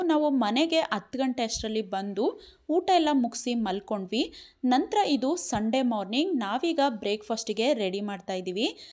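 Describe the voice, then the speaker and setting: Woman narrating a vlog, higher voice. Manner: steady, explanatory, mixes English words into Kannada. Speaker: vlogger. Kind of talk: calm voice-over narration of a family vlog.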